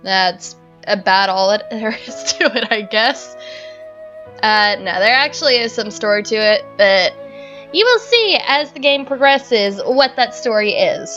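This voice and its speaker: Upbeat Southern American woman hosting a game playthrough; excitable, laughs often and turns loud, voice on the high side. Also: measured pace, lo-fi sound, soft background music. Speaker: let's play host. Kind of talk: casual gaming commentary.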